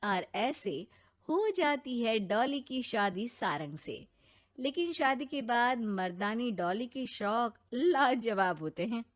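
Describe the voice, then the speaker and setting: A woman's voice: a little high, expressive, slow. A woman narrating a scripted family story.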